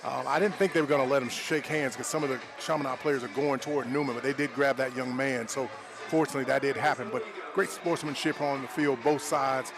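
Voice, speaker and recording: A man's voice: medium pitch, fairly even intonation, brisk. A man giving conversational football analysis as a color commentator, plain-spoken and folksy, repeating his points.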